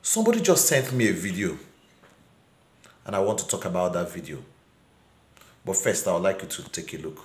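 A middle-aged man with a Nigerian accent speaks earnestly to camera as an advocate, deliberate and emphatic, posing rhetorical questions. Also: low-pitched, animated. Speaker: child welfare advocate and presenter. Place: small indoor room at home. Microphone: phone at arm's length. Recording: roomy echo, faint background noise.

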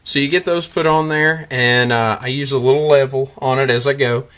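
A man in his thirties casually narrating a woodworking how-to in his workshop, relaxed and explanatory, with frequent fillers and restarts. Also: medium pitch, normal speed. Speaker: woodworking vlogger. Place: workshop shed.

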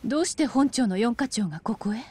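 Firm young policewoman, highish voice. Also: lively intonation, brisk, a little mellow. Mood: serious, insistent. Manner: crisp, serious, direct. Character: firm, cool young policewoman, serious and headstrong. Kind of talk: dramatic video game cutscene acting.

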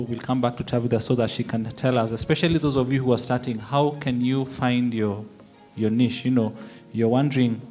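A man's voice: mid-range voice, mellow. Male moderator, handheld mic, chatty and loose. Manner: conversational, fillers, asks the audience for responses. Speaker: panel moderator. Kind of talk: moderating a panel discussion. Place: large hall.

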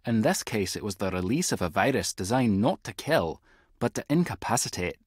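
An actor playing a wry, clever, tech-savvy spy agent with a Scottish accent and a husky voice in an adventure game. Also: male voice, lowish voice, very animated, brisk, dry recording, clean studio sound. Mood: wry, explanatory. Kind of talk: scripted adventure game dialogue.

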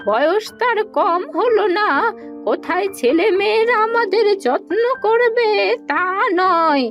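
An actress playing a resentful, weary, scolding old village wife in a cartoon. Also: female voice, extremely high pitch, expressive, slow pace, faint music behind, exaggerated voice. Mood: grumbling. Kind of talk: cartoon voice acting.

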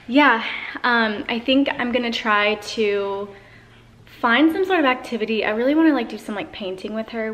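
An American woman in her thirties chatting casually to camera as a vlogger, in an informal way full of "like". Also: mid-range voice. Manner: informal, peppered with "like". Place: indoors, large high-ceilinged house room. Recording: echoey room, faint noise.

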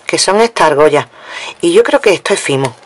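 A woman's voice: on the low side, expressive, brisk. A woman from Spain casually showing a craft-supply haul at her table, chatting to viewers and counting items aloud. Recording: noisy home recording with crinkling packets.

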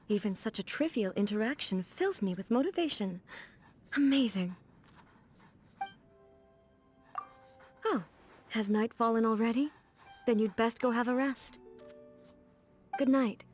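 Serene, high-voiced warrior ruler. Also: female voice, expressive, faint music behind. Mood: gentle. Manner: formal, measured, composed. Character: serene, dignified female ruler, a warrior devoted to eternity. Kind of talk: scripted video game character dialogue.

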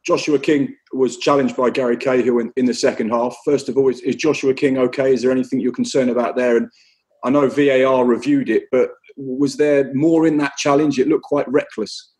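A man with a mid-pitched, ordinary voice puts plain questions to a manager in a press conference. He is direct and probing, and he pauses now and then to rephrase. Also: steady tone, darkish tone. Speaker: reporter.

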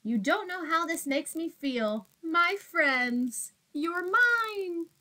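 A woman doing a grabby, high-pitched little boy dinosaur. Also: expressive, heavily acted. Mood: excited. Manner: wailing and shouting, drawn-out possessive protests. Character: grabby, loud young boy dinosaur, bossy and possessive. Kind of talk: children's storybook read-aloud.

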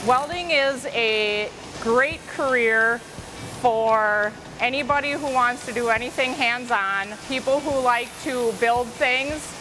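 A woman in her thirties, a welding instructor, explaining her program in an upbeat, clear way in a shop. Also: fairly high pitch, takes it slowly, background noise. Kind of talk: promotional interview. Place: large welding shop, indoors. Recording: music bed underneath.

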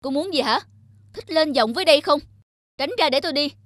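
A man's voice: very high voice, brisk, fairly bright tone, no echo, clean studio sound. A man voicing an irritable, self-important young passenger in a cartoon, brusque and argumentative. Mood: irritated, arguing. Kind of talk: cartoon character acting.

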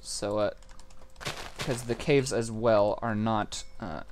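A man's voice: fairly low pitch, fairly even intonation, slow, a little mellow. A young man talking over a Minecraft game he is playing, a bit sick with a scratchy throat, rambling with lots of fillers. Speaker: gaming channel host. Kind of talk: casual Minecraft commentary.

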